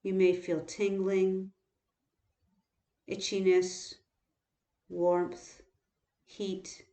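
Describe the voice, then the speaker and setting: A woman guiding a body scan meditation in a calm, unhurried, instructional way, leaving long pauses. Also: mid-range voice. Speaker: meditation teacher. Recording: roomy, echoing sound.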